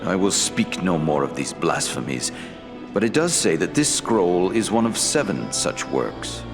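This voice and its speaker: A man playing a wise, ancient elf lord in a fantasy game, speaking gravely in formal, deliberate phrasing. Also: low voice, very animated, exaggerated voice. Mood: solemn, disapproving. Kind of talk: fantasy game dialogue acting. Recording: long hall reverb, faint music.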